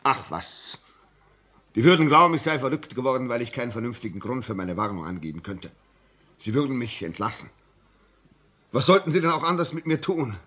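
An actor playing a tormented, fearful railway signalman in a radio play, speaking in an agitated, halting way. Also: mid-range voice, sing-song, pushed and bright, slightly rough, exaggerated voice. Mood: bitter, despairing. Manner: agitated, halting, swinging from whispers to desperate outbursts. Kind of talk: German radio play acting.

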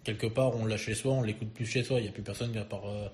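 A man, a drummer, speaking as a guest on a radio show in a quick, talkative way. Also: lowish voice, fairly even intonation, a little mellow. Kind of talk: casual radio interview.

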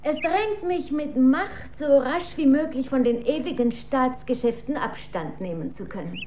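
An actress playing an imperious, manipulative dowager empress in a German radio drama, speaking in a formal, stately and measured manner. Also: female voice, sits high, animated. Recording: dull recording with faint noise.

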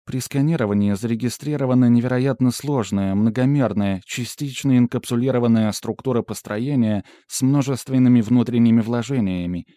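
A man's voice: mid-range voice, fairly quick, few pauses, warm tone, no room sound, clean studio sound, natural voice. A man voicing a female AI assistant in an audiobook, reporting formally and precisely in technical sentences. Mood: analytical. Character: Pandora, female AI assistant, precise and matter-of-fact. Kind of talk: audiobook character dialogue.

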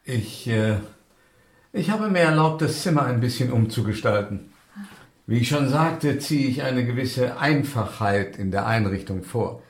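An actor playing a courteous, pious gentleman lodger in a German radio drama, speaking formally and solemnly. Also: medium pitch, lively intonation, measured pace. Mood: formal, hesitant. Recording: roomy, echoing interior sound.

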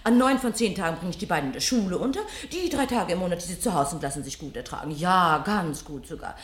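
An actress playing a smug, shallow, callous housewife in a radio drama, prim, gossipy and opinionated. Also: female voice, on the low side, lively intonation, fast talker, lightly acted. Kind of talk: 1970 German radio drama acting. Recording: roomy old radio studio.

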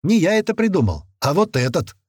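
A man's voice: high-pitched, big pitch swings, brisk, a little mellow, slightly rough, no room sound, clean studio sound, voice pushed up, full character voice. A man voicing a vengeful, mocking young gunman in an audiobook, barking and hissing commands with theatrical flair.

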